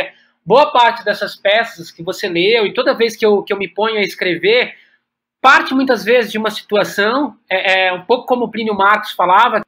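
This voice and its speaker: A man's voice: sits high, pushed and bright. A Brazilian man speaking Portuguese as an interview guest, reflective and hesitant, often repeating words as he searches for the next one. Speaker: guest playwright and director. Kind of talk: reflective podcast interview talk.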